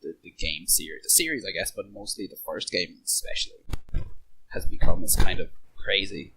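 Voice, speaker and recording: A man's voice: deep voice, big pitch swings, moderate pace, creaky, pushed and bright, slight background noise, poor audio. A man chatting casually on a podcast panel, often repeating himself as he gathers his thoughts.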